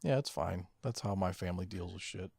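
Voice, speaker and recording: An American man co-hosting a podcast, chatting casually with profane, deadpan jokes. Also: deep voice, brisk, creaky, husky, no echo, clean studio sound. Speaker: podcast co-host. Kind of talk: casual chat.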